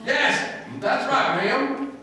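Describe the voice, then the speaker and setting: A man's voice: very high voice, expressive, drawn-out words, bright, forceful tone, voice pushed up, full character voice. A man putting on a brash, jovial cowboy with a Texan drawl for an old-time radio comedy. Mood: folksy. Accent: put-on Texan. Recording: distant, echoey stage recording.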